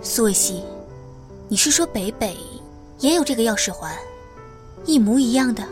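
A woman's voice: fairly high pitch, animated, moderate pace, over music, close to an everyday voice. A woman playing a composed, mature young mother in an audio drama, reserved and a little strict. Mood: surprised, pondering. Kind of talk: modern audio drama acting.

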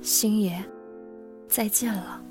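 A woman voicing a tender young girl with a gentle cooing manner in an audio drama. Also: mid-range voice, fairly even intonation, slow, faint music behind, lightly acted. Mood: sorrowful. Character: tender, playful young girl soothing a baby. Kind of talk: Mandarin audio drama acting.

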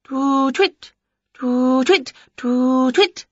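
A woman voicing an excitable, greedy, scatty woman from a children's storybook, gushing and exclaiming. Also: high-pitched, drawn-out words, no echo, muffled recording, pitched above the performer's own voice, heavily acted. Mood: eager calling.

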